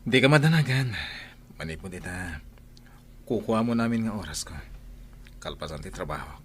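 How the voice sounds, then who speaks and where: Man playing unfaithful, unrepentant husband in radio-style drama: lowish voice, wide melodramatic swings, background noise. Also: expressive, moderate pace, a bit bright. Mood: casual, cold. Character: callous unfaithful young husband, unrepentant, dismissive. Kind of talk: Ilocano radio-style audio drama acting.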